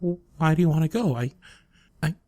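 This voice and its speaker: A man playing an obsessive, lovesick male student in an ASMR roleplay drama, hesitant and stammering, shy yet menacing, with a soft breathy voice. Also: a little high, lively intonation, brisk, dry recording, clean studio sound, natural voice. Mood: desperate. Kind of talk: ASMR roleplay audio drama, intimate acting.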